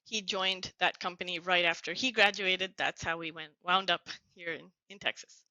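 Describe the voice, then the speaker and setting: Low, bright woman on a call. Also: steady tone, moderate pace, no echo, rough recording. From United States (New Jersey). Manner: warm, earnest, grateful tone. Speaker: guest. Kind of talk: reflective conversational storytelling.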